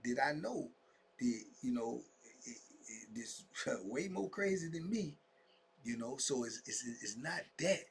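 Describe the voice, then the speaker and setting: A man's voice: mid-range voice, lively intonation, unhurried, breathy edge. A man in his fifties telling his own story to camera in a casual monologue, full of street slang and fillers like "man" and "you dig", sometimes dropping to a whisper. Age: 50s.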